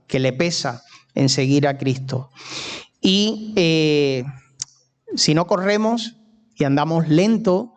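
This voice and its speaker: Man with a Cuban accent, preacher, unhurried conversational sermon, slightly high voice, slow delivery. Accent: Cuban Spanish. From Cuba.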